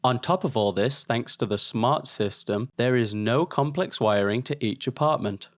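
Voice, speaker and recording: A man reading a scripted promotional product voice-over in a polished, measured, presentational way. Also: medium pitch, no echo, studio quality. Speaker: product video narrator.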